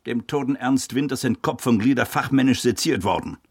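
A male actor in a German radio crime drama playing a sardonic police investigator who questions pointedly, with a gravelly, husky voice. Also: mid-range voice, fairly quick, close to an everyday voice. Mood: probing.

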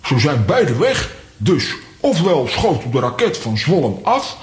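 A high male comic voice swings wildly in pitch. He is a pompous, excitable bungler declaiming in a children's radio play, with strong echo around him. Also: slow pace. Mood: excited, self-important. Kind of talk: children's audio drama acting.

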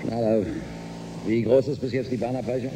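An actor playing a harried, authoritative spaceship captain in a German radio drama. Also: male voice, mid-range voice, steady tone, moderate pace, close to an everyday voice. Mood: weary. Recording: clearly noisy ship ambience.

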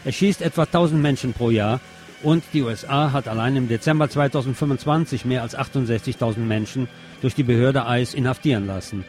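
A man's voice: mid-range voice, brisk, a little mellow. A man presenting scripted news commentary as a radio presenter, with pointed, sardonic phrasing.

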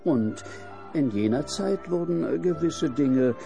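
A man's voice: fairly high pitch, lively intonation, slow, creaky, music in the background. A man narrating a radio play in a wry storytelling manner.

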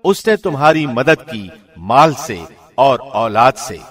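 A man narrating a scripture translation in a formal, measured, solemn announcer's delivery. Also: mid-range voice, expressive, a bit bright, husky.

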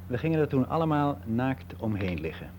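Male actor as candid ageing man, very mellow voice, matter-of-fact musing, radio play monologue, noisy old recording. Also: medium pitch, big pitch swings, normal speed, lightly acted. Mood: frank, wry. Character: candid, reflective ageing man, worldly and unbelieving. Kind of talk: radio drama monologue, conversational confiding.

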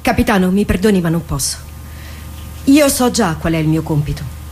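A woman playing a loyal, resolute military orderly in a radio drama, formal, measured and earnest. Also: lowish voice, lively intonation, a little mellow, slight background noise, close to an everyday voice. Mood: respectful. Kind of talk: theatrical radio drama acting.

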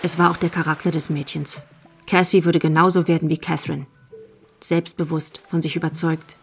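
Low, creaky-voiced woman narrator. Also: little pitch movement, normal speed, faint music behind. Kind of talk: scripted radio drama narration.

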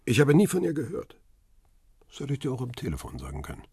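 An actor playing a worldly press photographer in a radio crime drama, guarded and dryly ironic, talking casually. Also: male voice, mid-range voice, wildly swooping pitch, brisk, mellow, slightly rough, dry recording, clean studio sound, full character voice. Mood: dry, wry.

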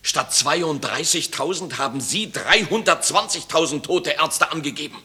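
An officious, threatening Nazi boss in a radio drama. His voice is very forceful and swings widely in pitch. He barks with indignation. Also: lively intonation, moderate pace. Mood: outraged, threatening. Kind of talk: German radio drama acting.

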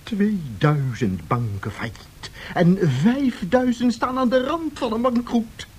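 A man's voice: sits high, lively intonation, slow, darkish tone, husky, heavily acted. A man acting a despairing, tearful American president in a Dutch radio drama, with theatrical, lamenting delivery. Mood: exasperated, despairing. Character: despairing, tearful American president, anxious about his image.

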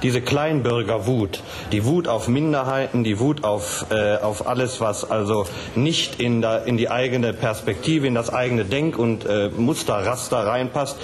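A man giving a live critique on a literary jury, indignant and halting, circling back over his phrases. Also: mid-range voice.

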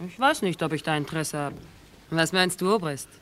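A woman's voice: low voice, lively intonation, a little fast, a little hiss or hum. An actress playing a calculating, flirtatious camp woman in a German radio play, with a coquettish manner. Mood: coy, calculating.

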